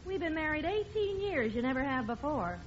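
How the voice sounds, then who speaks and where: A no-nonsense, exasperated wife in an old radio comedy. She is brisk and skeptical, with lively swooping intonation. The sound is dull, with clear noise. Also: high voice. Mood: firm, suspicious. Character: exasperated, no-nonsense wife of eighteen years, middle-aged. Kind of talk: old-time radio situation comedy acting. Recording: dull, noisy vintage radio recording.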